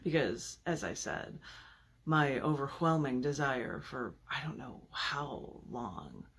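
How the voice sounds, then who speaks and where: Woman, poet, raspy and creaky, mid-pitched, theatrical poetry-reading delivery. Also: lively intonation, slow, a bit bright. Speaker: poet reading her work. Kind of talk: dramatic poetry reading with casual asides.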